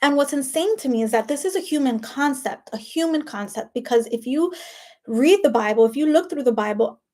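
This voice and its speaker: A woman's voice: fairly high pitch, darkish tone. A woman with a Spanish accent giving a casual motivational monologue as a life coach, chatty and emphatic, full of "like" and "right?". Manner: emphatic and preachy.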